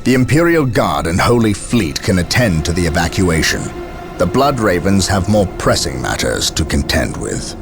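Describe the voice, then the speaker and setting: An actor playing a stern, resolute space marine captain in a video game, speaking in a formal, measured, commanding way. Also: male voice, deep voice, big pitch swings, over music. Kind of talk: video game cutscene acting.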